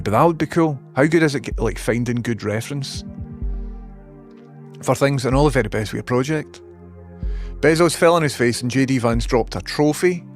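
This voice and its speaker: A man with a Scottish accent chatting informally as a livestream host, rambling as he replies to viewers. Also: medium pitch. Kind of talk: casual livestream chat, replying to viewers. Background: music playing.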